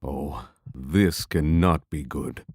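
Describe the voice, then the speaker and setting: A man's voice: rumbling low, slow pace, husky, no room sound, clean studio sound, exaggerated voice. A man playing an anxious, skeptical adventurer in an audio drama, speaking in a hesitant, fretful way. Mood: worried.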